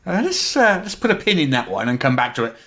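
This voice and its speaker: Man playing a deadpan fantasy bank clerk. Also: a little high, quick pace. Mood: patiently sceptical. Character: polite, unflappable, mildly sceptical fantasy bank clerk.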